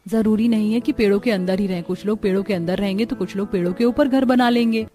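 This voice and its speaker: A woman's voice: medium pitch, quick pace, mellow, close to an everyday voice. A woman voicing a young, practical village daughter-in-law, respectful and earnest, in a cartoon story. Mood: cheerful, reassuring. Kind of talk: Hindi cartoon story dialogue.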